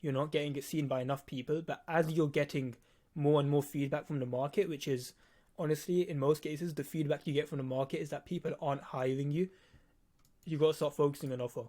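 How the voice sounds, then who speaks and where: A man's voice: a little high, steady tone, a little fast, dark tone. A nineteen-year-old man with a lisp, explaining things to viewers in a blunt, informal way. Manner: blunt, casual, swearing, emphatic repetition. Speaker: video editor teaching on own channel.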